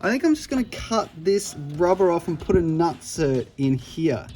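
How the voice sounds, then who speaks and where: A man's voice: a little high, sing-song, moderate pace, darkish tone, faint music behind. A man in his thirties with an Australian accent, casually talking through a do-it-yourself car radio install in an offhand, self-deprecating way. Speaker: electrician vlogger. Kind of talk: casual DIY vlog.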